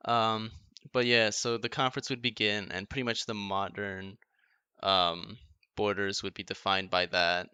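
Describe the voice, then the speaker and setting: A man's voice: lowish voice, level tone, unhurried, pushed and bright, clear, resonant tone, dry recording. A young man co-hosting a podcast, casually explaining history with lots of fillers. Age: young adult. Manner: explains events, loose with fillers. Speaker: podcast co-host.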